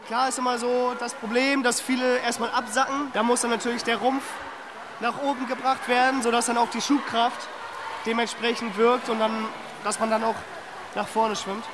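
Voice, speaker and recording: A man's voice: extremely high pitch, flat delivery, moderate pace. A young man talking casually in an interview as a swimming instructor at a pool. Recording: noisy, busy swimming pool hall.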